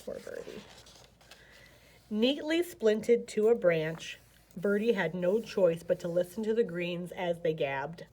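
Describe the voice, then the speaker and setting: A woman reading a picture book aloud as a children's storyteller, with animated, dramatic emphasis. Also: on the low side, takes it slowly.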